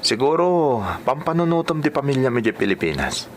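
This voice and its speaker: A man playing a calm, concerned, reassuring companion in an Ilocano-accented radio drama. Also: medium pitch, a little fast, a little hiss or hum, lightly acted. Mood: concerned, soothing.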